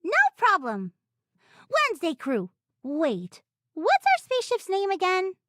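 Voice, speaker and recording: A woman's voice: extremely high pitch, wildly swooping pitch, unhurried, a bit bright, a little hiss or hum, exaggerated voice. A woman voicing a plucky, earnest and determined child pirate captain in an anime game. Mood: bold, bossy.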